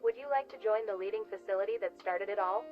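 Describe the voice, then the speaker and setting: A woman's voice: medium pitch, quick pace, faint music behind, close to an everyday voice. A woman playing a polished corporate recruitment announcer, reading formal promotional narration. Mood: polished, inviting. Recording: dull lo-fi promo video audio.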